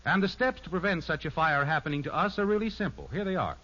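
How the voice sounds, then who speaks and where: An American man announcing in earnest 1950s radio style. His voice is bright and fairly high, with lively, emphatic swings, on a dull vintage recording. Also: quick pace. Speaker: announcer and narrator.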